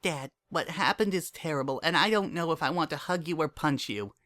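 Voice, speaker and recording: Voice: medium pitch, a little fast, fairly bright tone, pitched above the performer's own voice, close to an everyday voice. A narrator voicing a young pony mare, a hurt but hopeful daughter, emotional and pleading, in a dramatic story reading. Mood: earnest, hopeful.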